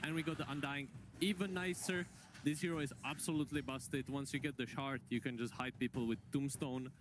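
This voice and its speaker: A man's voice: medium pitch, fairly even intonation, a little fast, background noise. A man giving casual game analysis as an analyst on an esports panel.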